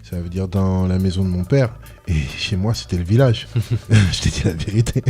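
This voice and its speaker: Man on a radio show talking about his roots, low mellow voice, earnest, kinda rambling, faint music under it. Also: normal speed. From France, Congolese descent. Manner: earnest, passionate, rambling with restarts. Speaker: reggae artist guest. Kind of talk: casual radio interview.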